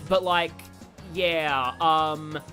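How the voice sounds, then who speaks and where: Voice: medium pitch, fairly even intonation, very slow, pushed and bright. A game streamer giving casual, rambling livestream commentary, chatting and reacting aloud to the game. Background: game music.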